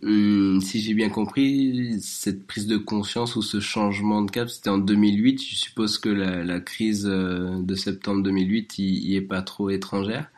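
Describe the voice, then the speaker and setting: Low-voiced man hosting an interview. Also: fairly even intonation, normal speed, hardly any pauses, a little mellow. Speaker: podcast host. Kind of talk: conversational interviewing.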